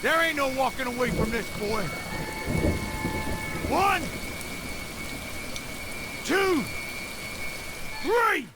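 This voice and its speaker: A man's voice: very high-pitched, big pitch swings, very slow, pauses often, heavy background noise, exaggerated voice. An actor playing an enraged, vengeful father and lawman in a western game, threatening and confrontational. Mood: deadly challenge. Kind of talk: western game cinematic acting.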